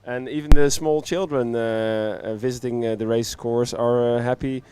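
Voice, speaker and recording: A man's voice: mid-range voice, steady tone, normal speed, warm tone. A man speaking as a studio guest in a casual live interview, easygoing, feeling out his phrases piece by piece.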